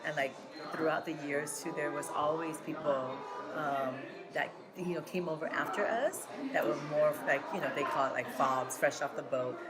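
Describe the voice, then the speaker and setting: A woman's voice: low-pitched, moderate pace, few pauses, a loud crowd. A woman speaking frankly in a casual way, with many uses of "like". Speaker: interviewee. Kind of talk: casual personal account. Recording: loud background noise.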